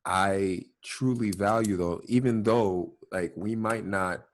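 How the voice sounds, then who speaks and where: A man's voice: on the low side, takes it slowly. A young man hosting a casual family talk, reflecting thoughtfully with many "you know"s. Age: young adult. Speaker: host.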